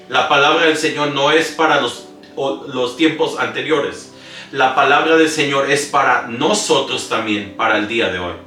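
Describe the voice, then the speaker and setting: Male pastor, earnest sermon delivery, recorded in a roomy space with music underneath. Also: medium pitch. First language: English-dominant speaker preaching in Spanish. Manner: earnest preaching with scripture reading and slips. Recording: roomy, distant mic with soft music. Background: soft instrumental music.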